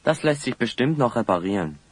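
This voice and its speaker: An actor playing a confident, courteous adventurer hero in a German audio drama, polite and assured. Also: male voice, mid-range voice, lively intonation, a little fast, breathy edge, dry recording. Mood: reassuring.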